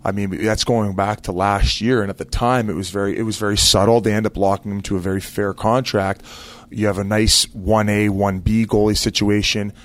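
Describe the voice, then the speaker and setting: Low-voiced man in casual sports chat. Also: flat delivery, brisk. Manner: informal, loose, colloquial. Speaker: sports radio analyst.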